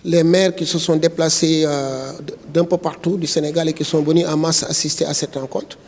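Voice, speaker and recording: A man's voice: fairly high pitch, flat delivery, normal speed, a little mellow. A man with a Senegalese accent giving a spoken statement to the press as spokesman for a network, leaning on repeated filler phrases. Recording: reverberant hall.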